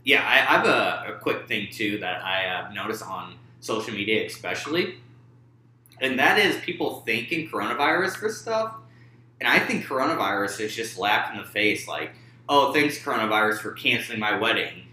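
Low bright-voiced man in an echoey room. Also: expressive, slight background noise. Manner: halting, many fillers and restarts. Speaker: podcast co-host. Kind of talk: casual sports chat.

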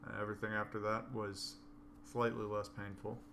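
A man with a raspy, hoarse voice chatting casually and dryly. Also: on the low side, little pitch movement, moderate pace, sharp tone, a little hiss or hum. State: hoarse from coughing. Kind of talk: casual chat.

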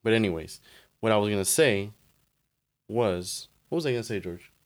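A young man with a fairly low voice chatting as a podcast co-host. He banters loosely, laughs easily and states his opinions plainly. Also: animated, moderate pace. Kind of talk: casual chat.

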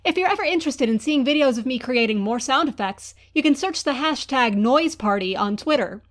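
A woman's voice: high-pitched, animated, a little fast. A woman presenting a quiz segment as a guest, explaining step by step. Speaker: guest Foley artist.

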